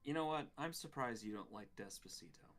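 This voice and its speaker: A man's voice: medium pitch, expressive, a little fast, fairly bright tone, slight background noise. A man chatting casually in short, informal remarks. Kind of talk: casual chat.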